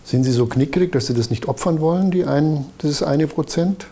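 A man's voice: fairly high pitch, moderate pace, dark tone, breathy edge. A man hosting a panel discussion and putting pointed, provocative questions to his guests in a room indoors. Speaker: talk show host.

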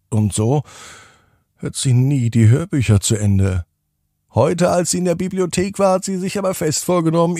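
A man hosting a children's bedtime story podcast, gently addressing young listeners with drawn-out emphasis. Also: mid-range voice, no room sound, clean studio sound.

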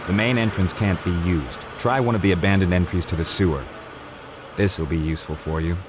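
A man playing a gruff, streetwise getaway driver and handler in a video game, talking in a terse, informal, worldly way. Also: low voice, fairly quick, background noise, lightly acted. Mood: low-key briefing. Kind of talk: video game cutscene acting. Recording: loud music behind the dialogue.

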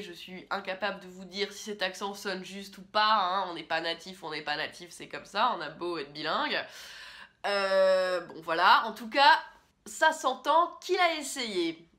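A young woman giving a chatty, opinionated film review to a camera in a small room. Her voice is bright and forward, at an ordinary pitch for a woman. She talks casually and often pauses on fillers. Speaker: film critic host.

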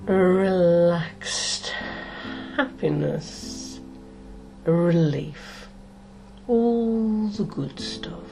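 A middle-aged woman speaks as a hypnotist, extremely slowly and soothingly, with long pauses between short phrases. Her voice is fairly low and mellow, made for guided relaxation. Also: vocal fry, faint music behind.

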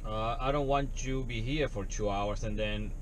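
A man's voice: lowish voice, normal speed, poor audio. A man in his thirties, a service technician, candidly retelling a story from his workday while sitting in his parked work van, recorded on a dash camera. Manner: candid, conversational retelling with non-native phrasing. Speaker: HVAC technician. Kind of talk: casual storytelling vlog. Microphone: dash camera, headset on. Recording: loud road and engine noise. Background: vehicle and outdoor ambience.

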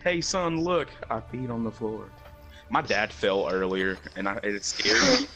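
A young man chatting casually while gaming, animated, swearing and bantering with friends. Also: on the low side, a little slow, faint music behind, poor audio. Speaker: gamer in a friend group.

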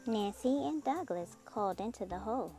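A woman narrating a children's picture book aloud in an expressive storytelling style. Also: fairly high pitch, normal speed. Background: music under the voice.

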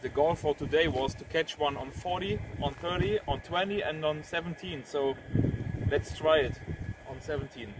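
A man in his thirties doing a casual outdoor radio demonstration, repeating short phrases clearly. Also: on the high side, moderate pace. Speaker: amateur radio operator presenting his antenna. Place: outdoors on a windy farm road. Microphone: camera a few steps away. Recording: distant mic with wind and outdoor noise.

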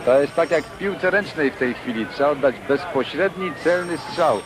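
A man playing an excited football commentator calling a match on a broadcast. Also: a little high, moderate pace, music in the background, close to an everyday voice. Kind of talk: sports commentary. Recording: distant broadcast under loud crowd noise.

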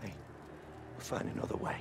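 A middle-aged man playing a weathered, protective, pragmatic mentor in a video game, with a gravelly voice. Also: brisk, lightly acted. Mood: gentle. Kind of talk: video game cutscene acting. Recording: loud music and game effects behind.

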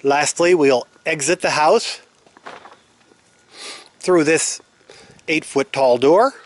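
A man's voice: fairly high pitch, slow pace. A man giving an unscripted, explanatory walk-through tour in a matter-of-fact way, speaking outdoors into a handheld camera. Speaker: homeowner giving a house tour. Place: outdoors in an open timber-framed house under construction. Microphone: handheld camera, held by the speaker.

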